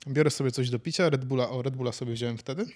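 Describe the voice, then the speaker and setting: A man's voice: mid-range voice, rapid-fire, a little mellow. A young man talking casually as a podcast co-host, in a blunt, colloquial way. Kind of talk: casual chat, anecdote telling.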